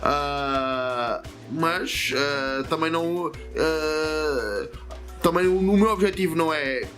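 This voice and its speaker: A man's voice: fairly high pitch, slow, fairly bright tone, faint music behind. A man with a European Portuguese accent hosts a history channel, reading a comic aloud and adding chatty, digressive commentary full of fillers and self-corrections.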